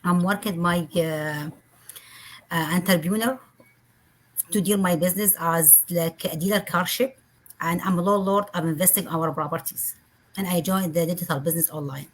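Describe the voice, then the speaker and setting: A woman guest with an Iraqi Arabic accent introduces herself in a halting way. Her voice sits a little low. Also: steady tone. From Iraq.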